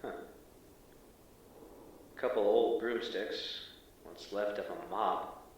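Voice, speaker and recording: A deadpan field agent in an audio drama, wry n uneasy, lowish voice, far off in a big echo w/ faint noise. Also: male voice. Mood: wary, observant. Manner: casual, halting, deadpan reporting over radio. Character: wry, uneasy male field agent exploring an abandoned school. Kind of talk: audio drama acting, exploration log. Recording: echoey, distant, faintly noisy field audio.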